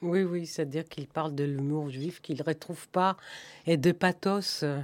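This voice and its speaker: Woman, guest filmmaker, lowish voice, conversational, dry close mic. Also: fairly even intonation, a little slow, no echo. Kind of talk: radio discussion.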